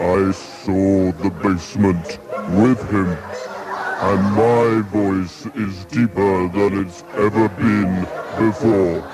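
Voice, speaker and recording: A man voicing a mother whose voice has gone comically deep, talking very slowly, in a radio comedy sketch. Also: fairly even intonation, loud music behind the voice, over a noisy crowd, low-quality recording. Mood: deadpan-comic. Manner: very slow, rumbling, laughing. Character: mother with a comically deepened booming voice.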